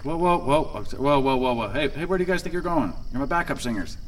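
An actor playing a brash, lovestruck head of a celebration foundation in a satirical audio drama, cocky and outspoken. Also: male voice, medium pitch, animated, a little fast, some chatter behind, natural voice. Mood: folksy, tender. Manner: cocky, profane, impassioned in private, folksy showman on stage.

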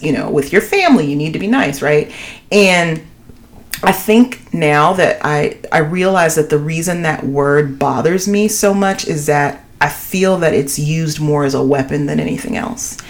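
A woman with a deep voice co-hosting a podcast in casual chat, talking emphatically and filling her speech with "you know.". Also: normal speed. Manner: emphatic, animated, many "you know" fillers. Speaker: podcast co-host.

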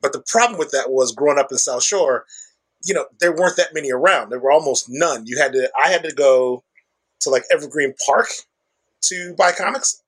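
Husky middle-aged man, chatty. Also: a little high, brisk, a bit bright. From Chicago, USA. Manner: chatty, explanatory, peppers speech with "like" and "right?". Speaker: comic shop owner, guest. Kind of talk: conversational podcast interview.

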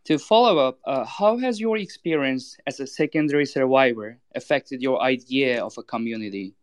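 Guy moderating a panel, smooth clear voice, polite. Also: mid-range voice, lively intonation, normal speed.

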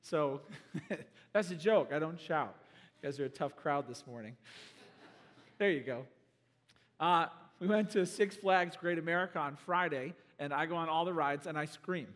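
A man with a high-pitched, slightly hoarse voice preaches to his congregation. He is casual and joking, then animated as he builds a point. His voice rings out with a long reverb through the church hall. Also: normal speed. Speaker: pastor. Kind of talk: sermon. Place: church sanctuary.

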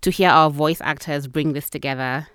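A woman's voice: sits low, steady tone, moderate pace, a bit bright, clean tone, no room sound, studio quality. A woman hosting a podcast, reading scripted credits. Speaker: podcast host and producer.

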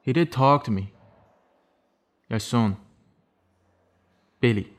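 A man's voice: mid-range voice, takes it slowly, close to an everyday voice. An actor playing a guarded, sardonic young man in prison, evasive and sarcastic, in a naturalistic audio drama. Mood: defensive.

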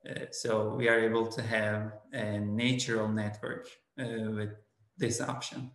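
A man with a Turkish accent, a principal answering interview questions slowly, pausing on many fillers. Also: lowish voice, little pitch movement, a bit bright, reverberant. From Turkey. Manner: slow, halting, many "uh" fillers. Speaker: school principal.

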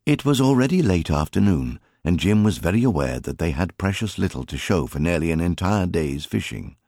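A man reads a story as an audiobook narrator. His voice is very deep and husky, with wide, expressive swings in intonation. It is recorded dry and close. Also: a little fast, dry recording.

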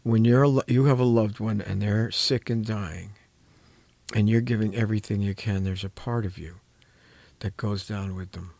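A man's voice: lowish voice, steady tone, moderate pace, vocal fry, darkish tone, no echo. An older man, a clinician, talking reflectively and earnestly, searching for his words and leaning on key ones. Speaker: clinician and programme host. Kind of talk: reflective conversational talk on caregiving.

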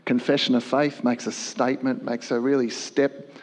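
A man, a church worship leader, giving a measured, thoughtful answer on a panel. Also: medium pitch, little pitch movement. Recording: long hall reverb.

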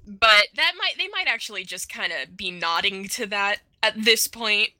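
A woman's voice: medium pitch, animated, normal speed, sharp tone, no room sound, studio quality. A woman chatting casually and informally as a co-host on a podcast. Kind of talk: casual chat about a cartoon.

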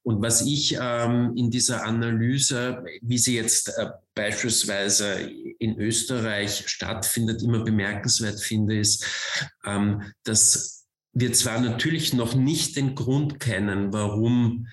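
A man's voice: medium pitch, fairly even intonation, takes it slowly, reverberant, rough recording. A man with a Viennese accent speaking as a guest at a panel talk, thinking aloud and often restarting his sentences. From Vienna, Austria.

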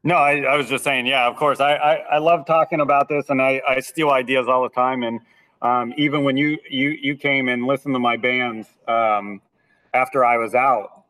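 A man's voice: medium pitch, fairly even intonation, normal speed, sharp tone, far from the mic, poor audio. A man in his thirties who is a school band director, talking through his teaching in a podcast interview, with a slightly nasal voice and frequent repeated words as he starts his sentences.

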